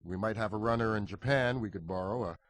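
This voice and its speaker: Man playing spy boss in a game, lowish voice, measured, all business, very dry sound. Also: no room sound, lightly acted. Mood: businesslike. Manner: measured, matter-of-fact. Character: sober male spymaster briefing his agent by radio. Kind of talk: video game cutscene acting.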